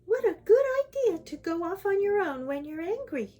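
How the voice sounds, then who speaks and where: Storybook princess, female narrator acting: gentle, polite, earnest young woman, high and animated. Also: moderate pace. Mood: kind, encouraging. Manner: sweet, bright-hearted, earnest.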